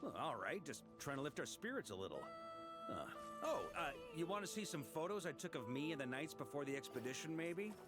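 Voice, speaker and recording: An actor playing a jovial middle-aged knight commander, genial and easygoing in a game. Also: male voice, fairly high pitch, very animated, brisk, over loud music. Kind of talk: video game voice acting.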